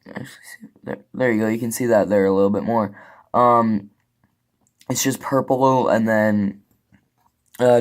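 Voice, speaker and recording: Slightly hoarse young man, rambling. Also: on the low side. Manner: rambling, lots of filler "like". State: slightly losing his voice. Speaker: hobbyist showing custom figures. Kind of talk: casual show-and-tell.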